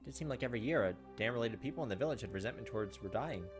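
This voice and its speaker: A man's voice: medium pitch, expressive, rapid-fire, over music. A man doing casual let's play commentary, moving between reading visual novel text aloud and giving his own reactions. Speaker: gaming video host.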